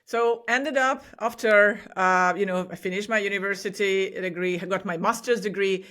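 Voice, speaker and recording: Woman, bright and laughing. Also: mid-range voice, fairly even intonation, normal speed. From Hungary. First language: Hungarian. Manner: enthusiastic, laughs often while talking. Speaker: podcast guest. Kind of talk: casual interview chat.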